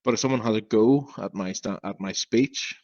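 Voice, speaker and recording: A man's voice: fairly low pitch, moderate pace, a little mellow, no room sound, highs cut off. A young man from Northern Ireland, a podcast guest who stammers, talking bluntly and frankly. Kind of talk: casual interview talk.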